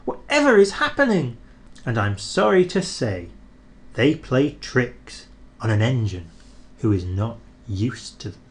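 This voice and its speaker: A man reading a children's storybook aloud, expressive and measured. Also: on the low side.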